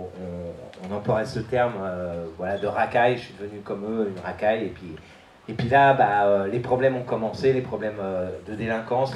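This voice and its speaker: A man's voice: on the low side, darkish tone, a little hiss or hum. A man from France giving a hesitant personal testimony, with many fillers and restarts. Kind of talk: personal testimony at a church youth event. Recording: echoey room.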